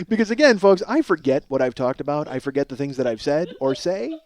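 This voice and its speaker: Excitable high-voiced man ranting comedically. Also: moderate pace, dry recording, studio quality. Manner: excitable, rambling, profane. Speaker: podcast host. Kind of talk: comedic ranting monologue, casual chat.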